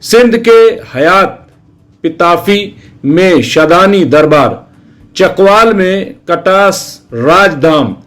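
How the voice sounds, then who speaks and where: High-pitched man, slow news narration. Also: lively intonation, a bit bright. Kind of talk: scripted news explainer narration.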